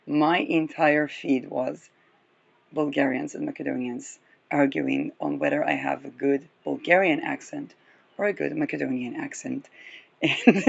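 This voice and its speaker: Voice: mid-range voice, creaky, a bit bright, muffled recording. A person giving a casual, rambling astrology talk, chuckling often between remarks. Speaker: astrology reader.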